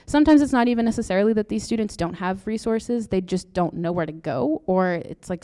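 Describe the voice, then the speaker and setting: Soft-spoken young woman presenting. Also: mid-range voice. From Brazil. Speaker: doctoral student presenter. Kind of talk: conference presentation. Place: lecture room. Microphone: handheld or podium mic.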